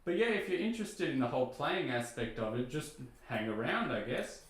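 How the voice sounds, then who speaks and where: Low-voiced man chatting casually, echoey room. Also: sing-song, brisk, fairly bright tone. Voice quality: low speaking voice. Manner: easygoing, rambling, self-deprecating, many "you know" and "uh" fillers. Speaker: VTuber streamer. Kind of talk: casual chat to stream viewers while restringing a guitar.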